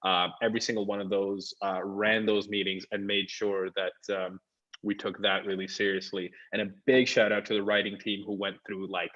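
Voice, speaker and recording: A man's voice: lowish voice, little pitch movement, normal speed, fairly bright tone, highs cut off. A man who grew up in Saudi Arabia, an actor talking casually on a panel in a joking, earnest way with lots of fillers. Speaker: actor on the show.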